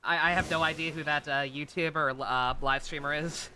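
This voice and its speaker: A man giving casual live stream commentary on a game, rambling, halting and often correcting himself. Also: fairly high pitch, steady tone, background noise. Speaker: game livestreamer. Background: game music.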